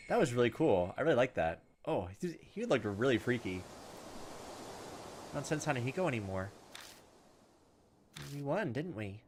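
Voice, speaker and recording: A man hosting a let's play, reading game text aloud and adding animated, casual asides. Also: fairly high pitch, slight background noise. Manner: animated, casual asides between read-out lines. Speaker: gameplay host. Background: game music.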